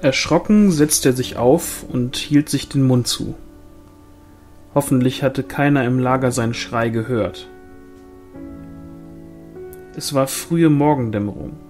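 A man's voice: mid-range voice, measured pace, pauses often, a little mellow, soft background music. A man reading a fantasy novel aloud as scripted audiobook narration. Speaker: audiobook reader.

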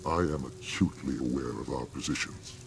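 An actor playing a frail, bitter old scientist in a video game, speaking formally in a weary, gravelly voice. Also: male voice, rumbling low. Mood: bitter, reproachful. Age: older. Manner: formal, measured. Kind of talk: dramatic video game dialogue.

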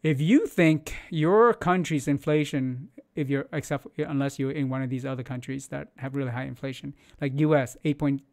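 A man's voice: mid-range voice, moderate pace, hardly any pauses, darkish tone. A man in his forties hosting market news in a casual, loose way, with many fillers and restarts, speaking English as a second language from a home studio on a close mic. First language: not English. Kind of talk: casual screen-share news commentary.

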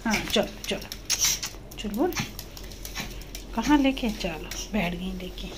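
A woman's voice: medium pitch, expressive, takes it slowly. A woman talking to her pet parrot at home in doting, sing-song baby talk. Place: living room at home, indoors. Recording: home phone video with background noise.

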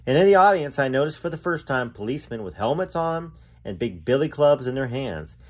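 A man hosting and reading a memoir aloud in an expressive storytelling style, with dramatic phrasing and casual asides. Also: medium pitch, fairly quick.

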